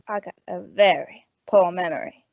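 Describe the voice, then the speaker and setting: A woman playing a gruff, suspicious, money-minded rooming house landlady in an old-time radio detective drama, blunt, slangy and wisecracking. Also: on the low side, normal speed, dull audio, natural voice. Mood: guardedly cooperative.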